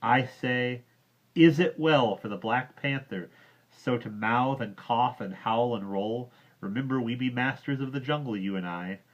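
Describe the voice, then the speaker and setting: A man's voice: medium pitch, fairly even intonation, moderate pace, voice pushed up, lightly acted. Brief: hot-tempered young jungle boy, man reading, raised indignant delivery, dull lo-fi sound. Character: proud young jungle boy, quick to anger. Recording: dull lo-fi recording.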